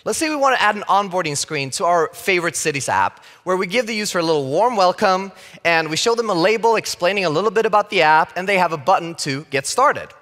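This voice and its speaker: A man in his thirties from Sweden with a Swedish accent, giving a playful, lively tech conference talk on a large stage. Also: sits high, fast. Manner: lively and playful, acts out quoted opinions. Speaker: conference speaker. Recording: reverberant hall.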